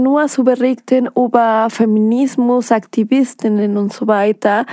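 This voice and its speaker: A woman's voice: a little high, darkish tone, no echo, studio quality. A woman from Mexico with a Mexican Spanish accent, speaking German as a second language as a guest on a radio interview, earnest and hesitant, with many fillers and restarts. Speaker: documentary filmmaker, studio guest. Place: radio studio.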